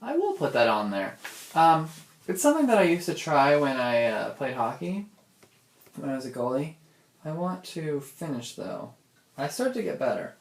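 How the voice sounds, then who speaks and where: A young adult man with a creaky, fry-edged voice records a casual audio diary. He thinks aloud in a hesitant, looping way, restarting often. The sound is echoey, as if he drifts around a room away from the mic. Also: a little high, sing-song, moderate pace. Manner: hesitant, repetitive, self-interrupting with many "like"s. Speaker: audio diarist.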